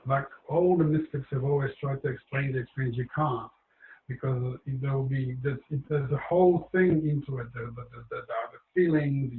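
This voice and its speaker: A man giving an informal talk about his book, hoarse and losing his voice, rambling with many fillers and restarts. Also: mid-range voice, reverberant, phone-quality sound, slight background noise.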